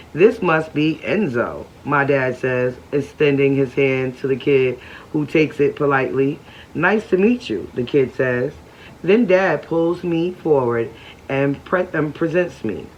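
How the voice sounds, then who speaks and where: Slow careful reader, small stumbles, muffled home recording, faint hiss. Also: medium pitch. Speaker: read-aloud reader and reading coach. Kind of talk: reading a novel aloud at home.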